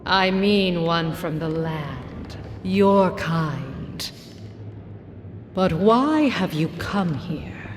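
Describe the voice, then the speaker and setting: Ancient forest spirit queen, low and super slow, regal game voice with long echo. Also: animated, soft background music. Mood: solemn. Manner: measured, regal. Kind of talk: video game voice acting. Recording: long reverb, faint background noise.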